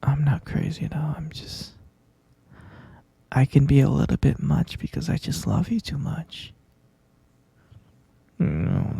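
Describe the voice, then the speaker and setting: A man voicing an obsessive, possessive young boyfriend who keeps his partner captive, talking in a soft, coaxing whisper close to the mic. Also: medium pitch, gravelly, husky, dry recording, heavily acted. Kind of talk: whispered ASMR roleplay.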